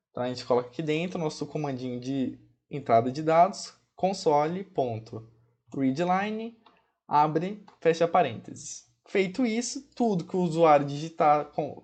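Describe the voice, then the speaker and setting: A man with a Brazilian Portuguese accent teaching programming in a casual screencast. He checks in with his viewers often and slows down to spell out commands as he types them. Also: on the high side, a little mellow. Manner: chatty, checks in often with "ok?" and "pessoal", fond of diminutives; spells out commands slowly while typing. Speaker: programming instructor. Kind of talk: casual screencast coding tutorial.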